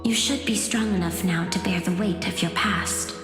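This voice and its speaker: A woman's voice: on the low side, lightly acted. Woman doing mysterious serene messenger lady for an anime game, solemn, echoey, music behind. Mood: calm, grave. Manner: solemn, formal, measured. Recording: echoey long reverb, loud music.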